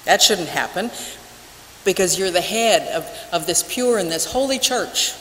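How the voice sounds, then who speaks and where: An older woman preaching a sermon as a minister, measured and deliberate with emphatic pauses. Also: lowish voice, lively intonation, fairly bright tone. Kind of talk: church sermon. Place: large wooden church sanctuary, at the pulpit. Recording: echoey church hall with hiss.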